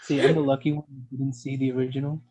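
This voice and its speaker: A man's voice: medium pitch, little pitch movement, quick pace, dark tone, no echo. A man chatting casually as a panelist on an online group call. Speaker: discussion panelist. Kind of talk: casual chat. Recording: dull lo-fi call audio.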